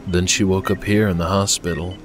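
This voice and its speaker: A man's voice: sits low, level tone, a little fast, music in the background. A man reading scripted fiction as an audiobook narrator.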